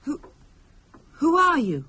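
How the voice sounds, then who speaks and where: Radio drama: earnest wife, softly insistent, lively intonation, slight background noise. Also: female voice, high voice, takes it slowly, full character voice. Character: earnest, curious, quietly insistent young wife. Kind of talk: radio play acting.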